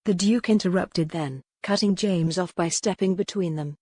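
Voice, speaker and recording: A woman narrates scripted historical fiction with measured, dramatic delivery. Her voice sits slightly low, and the recording is close and dry. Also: warm tone, breathy edge, dry recording. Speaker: audiobook narrator.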